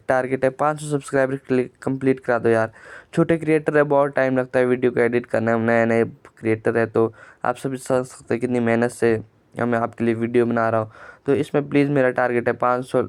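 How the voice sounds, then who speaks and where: A man narrates his own gameplay in an even, level tone, his voice smooth and clear even with a sore throat. He tells it plainly and often repeats words as he goes. The voice is dry and close to the mic. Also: medium pitch, dry recording. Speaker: gaming channel creator.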